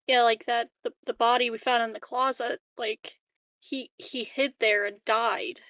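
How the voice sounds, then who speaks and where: A woman's voice: on the high side, steady tone, a little slow, bright, forceful tone, no room sound. A woman chatting casually as a player in a tabletop roleplaying game, swearing freely and poking fun at herself. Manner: self-deprecating, swears freely. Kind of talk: casual in-game chat, often describing her character's words.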